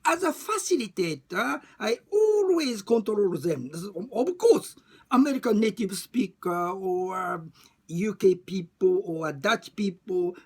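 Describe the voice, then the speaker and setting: A woman with a Japanese accent speaking non-native English in a halting, emphatic way, repeating phrases as in an informal personal talk. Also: medium pitch, animated, a little mellow, breathy edge. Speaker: discussion group chair and facilitator. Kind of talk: informal monologue about personal experience.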